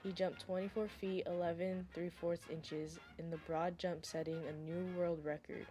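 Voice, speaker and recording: A woman's voice: lowish voice, little pitch movement, normal speed, mellow. A young woman presenting a sports segment as scripted narration, with music behind her.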